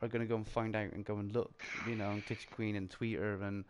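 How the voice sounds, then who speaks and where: A man with a British accent, hosting a casual round-table chat about games, talking in quick bursts, often laughing and filling with "like". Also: fairly low pitch, background noise. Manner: animated, chatty, laughs often, heavy filler "like". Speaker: streamer and show host.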